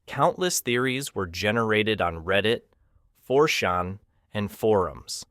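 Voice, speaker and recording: A man narrating a documentary from a script, with a measured, ominous delivery. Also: lowish voice, animated, fairly bright tone, clean tone, no room sound, studio quality.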